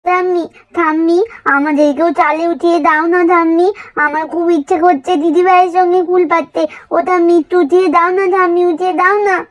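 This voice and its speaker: A very high, childlike voice plays a playful little village girl in a doll-story cartoon. She pleads insistently, repeating her phrases like an eager younger sister. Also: little pitch movement, fairly quick. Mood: pleading.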